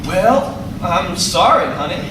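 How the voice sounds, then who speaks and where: A man's voice: high voice, big pitch swings, slow pace, full character voice. A man playing a pompous, grandiloquent schemer in a live radio play. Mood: exasperated. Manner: grandiloquent, exasperated. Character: pompous fast-talking Southern schemer, self-declared man of reason. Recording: echoey theater, distant mic, loud noise.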